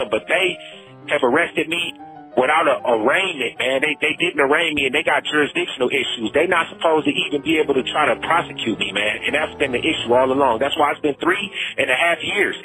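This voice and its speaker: Man, American accent, higher-pitched, forceful, pleading, repetitive, phone call, music underneath. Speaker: prisoner telling his story. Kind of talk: impassioned personal plea over the phone.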